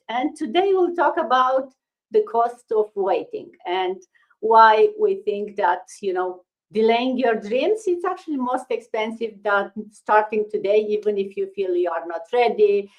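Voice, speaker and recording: A woman's voice: mid-range voice, low-quality recording. A woman from Romania with a Romanian accent, hosting a live-stream panel and talking with many hesitations. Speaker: host.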